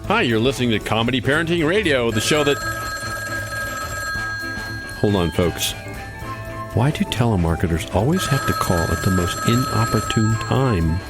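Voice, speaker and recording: A man's voice: medium pitch, animated, moderate pace, long pauses, over loud music, close to an everyday voice. A man playing an eager, bumbling middle-aged dad who hosts a family show in a comedy radio play, earnest and a bit hesitant. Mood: flustered, upbeat.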